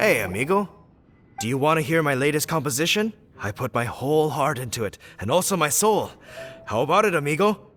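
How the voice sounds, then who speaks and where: A man's voice: on the high side, sing-song, fairly quick, a bit bright, soft background music. A man voicing a dramatic, earnest bard in a video game, theatrical and boastful. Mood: chummy, eager.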